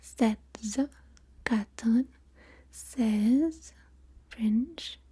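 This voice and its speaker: A woman softly whispering a language lesson in ASMR style, listing words one by one. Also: a little high, extremely slow, slightly rough. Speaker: language teacher.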